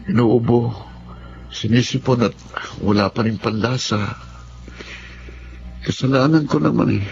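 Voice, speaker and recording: A man's voice: medium pitch, creaky, highs cut off, a little hiss or hum. An actor in a Filipino radio drama playing a remorseful businessman boyfriend who is sick with covid.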